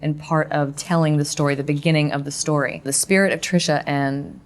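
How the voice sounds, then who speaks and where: Low flat-voiced woman reflecting. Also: a little fast. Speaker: voice actor.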